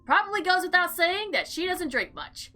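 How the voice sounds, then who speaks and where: A woman's voice: very high-pitched, big pitch swings, normal speed, pushed and bright. A woman playing a visual novel, reading it aloud expressively and dramatically with casual commentary. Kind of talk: let's play narration with casual commentary. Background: faint background music.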